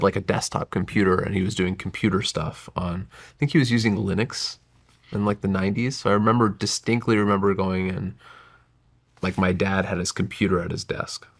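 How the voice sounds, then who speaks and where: Low, gravelly man telling his story. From United States. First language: Gujarati. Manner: reflective, winding, self-correcting narration. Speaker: podcast guest, entrepreneur. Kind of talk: casual interview storytelling.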